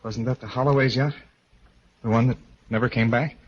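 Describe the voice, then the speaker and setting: An actor playing an uneasy, skeptical husband in a haunted-house mystery, in 1940s radio drama style. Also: male voice, medium pitch, fairly even intonation, fairly quick, lo-fi sound, close to an everyday voice. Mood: puzzled, wary. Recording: noisy, band-limited old radio recording.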